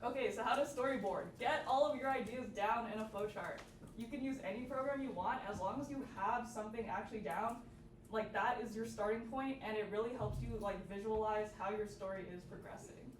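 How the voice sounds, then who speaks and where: A woman's voice: a little high, a little fast. A young woman giving an informal workshop presentation in a casual manner, sprinkling "like" through her sentences. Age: young adult. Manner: casual, peppered with "like". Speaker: student club workshop presenter. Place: indoor workshop room with audience. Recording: echoey room, distant mic, noisy background.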